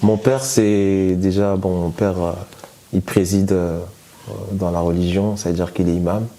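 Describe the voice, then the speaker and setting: Deep-voiced man, halting testimony. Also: steady tone, warm tone. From France. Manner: hesitant, many fillers and restarts. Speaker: guest giving testimony. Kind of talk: conversational personal testimony.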